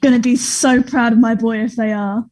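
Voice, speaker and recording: A woman's voice: a little high, fairly even intonation, normal speed, a little mellow, lightly acted. A woman playing a cocky, crude teenage boy who taunts people in a play table read. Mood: excited.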